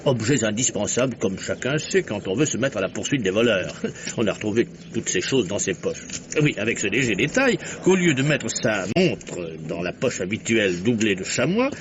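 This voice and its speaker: An actor playing a keen, talkative journalist turned amateur detective in an old French radio mystery, theatrical and reasoning step by step. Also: male voice, on the low side, sing-song, normal speed. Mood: animated deduction. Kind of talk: mid-century French radio mystery acting. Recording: noisy, muffled old radio drama recording.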